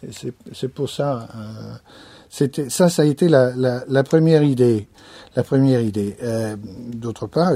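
Husky, mellow-voiced French-born man. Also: medium pitch. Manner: thoughtful, pauses and repeats key phrases. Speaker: anthropologist. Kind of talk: reflective interview answer.

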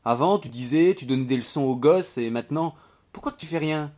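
A man's voice: a little high, fairly quick, darkish tone, voice pushed up, close to an everyday voice. A man voicing a gossipy, earthy, blunt servant woman from the country, with slangy grammar, in a novel reading. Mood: mocking.

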